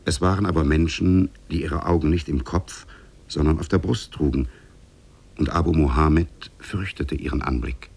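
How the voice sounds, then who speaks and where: Male narrator, 1950s radio fairy tale: extremely low, rough-edged voice, measured and solemn scripted storytelling. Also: a little mellow.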